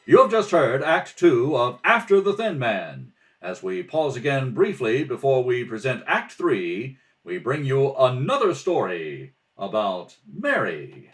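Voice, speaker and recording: Man for old-time radio announcer: folksy pitchman, emphatic, animated delivery. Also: unhurried, few pauses, faint music behind. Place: at a kitchen table at home.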